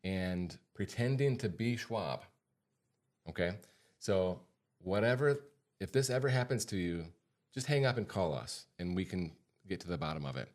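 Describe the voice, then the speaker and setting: A man's voice: deep voice, animated, normal speed, darkish tone. An American man hosting a podcast as a financial advisor, talking informally and repeating points for emphasis. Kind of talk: casual podcast talk.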